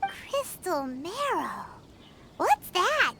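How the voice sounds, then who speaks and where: A woman voicing a small, excitable, childlike fairy companion in a video game. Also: extremely high pitch, drawn-out words, gravelly, noisy background, full character voice. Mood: puzzled. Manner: exclaiming, refers to self in third person. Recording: loud music behind the voice. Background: loud game music.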